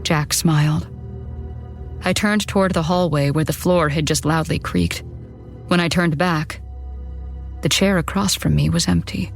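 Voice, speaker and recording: A woman's voice: deep voice, measured pace, vocal fry, pauses often. A woman narrating a first-person horror story in a dramatic storytelling style. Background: background music.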